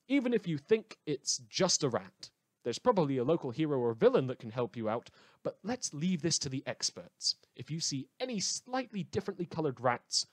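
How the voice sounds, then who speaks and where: A man plays an animated radio news host from a post-apocalyptic world. His pitch swings widely as he delivers wry, emphatic bulletins in a dry, close recording, rambling off into asides. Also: dry recording. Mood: wry warning. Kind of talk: scripted fictional radio news broadcast.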